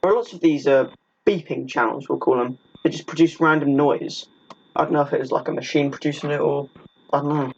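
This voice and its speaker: A man's voice: on the high side, steady tone, brisk, gravelly, a little mellow. A man narrating a video essay, partly scripted and partly casual. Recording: dull lo-fi audio with faint noise.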